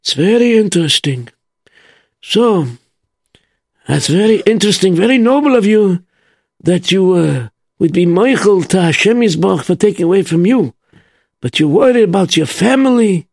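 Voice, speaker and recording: A wise old rabbi, as a storyteller puts him on, measured and soothing, weighing each word. His voice sits a touch above the storyteller's own in a dry, close recording. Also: high voice, animated, creaky, a little mellow, husky, no echo, pitched above the performer's own voice, heavily acted. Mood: calm. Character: wise, calm old rabbi, a holy man.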